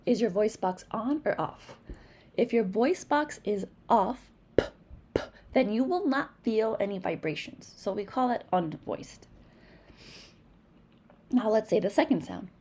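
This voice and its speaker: A woman's voice: medium pitch, expressive, a little mellow, a little hiss or hum. New England woman teaching phonics. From Massachusetts. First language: Spanish. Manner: patient, encouraging, models sounds slowly. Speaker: reading teacher. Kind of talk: instructional video lesson.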